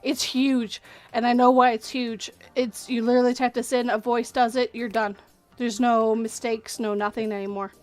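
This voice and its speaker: A woman's voice: on the high side, little pitch movement, moderate pace. A woman who narrates horror stories, chatting casually and speaking her mind with lots of restarts. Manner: candid, opinionated, lots of restarts. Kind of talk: casual livestream chat.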